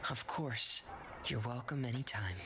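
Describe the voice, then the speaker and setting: A man playing a solemn, loyal young man in a video game, speaking in a measured, earnest way. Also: medium pitch, a crowd in the background, close to an everyday voice. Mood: grateful. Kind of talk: video game dialogue acting. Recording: game audio with clear noise.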